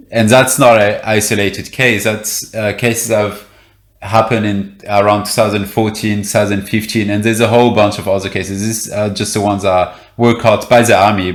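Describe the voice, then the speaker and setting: Low-voiced man, hesitant, echoey room. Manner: hesitant, many fillers and restarts. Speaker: reporter guest. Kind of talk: interview conversation.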